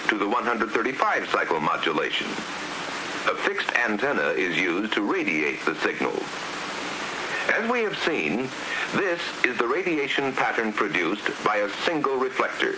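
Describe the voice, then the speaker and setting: A man's voice: fairly low pitch, normal speed. A man with an American accent narrating a scripted 1950s instructional film in a formal, precise, didactic manner. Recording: hissy 1950s film soundtrack. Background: steady hiss.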